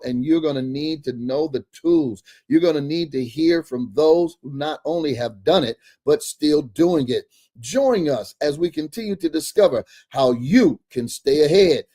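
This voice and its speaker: A man's voice: fairly high pitch, unhurried, dark tone. A man hosting a church broadcast interview, emphatic and preacherly, laughing often as he talks. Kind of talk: enthusiastic interview host.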